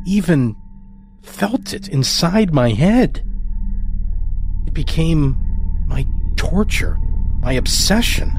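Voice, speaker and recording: A man hosting and narrating a scripted horror podcast in a dramatic, deliberate manner. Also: on the high side. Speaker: host and story narrator. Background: music score.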